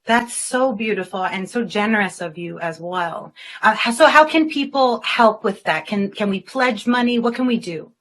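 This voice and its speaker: A woman's voice: medium pitch, moderate pace, hardly any pauses, fairly bright tone, low-quality recording. A woman hosting an upbeat talk show interview in an effusive, gushing manner.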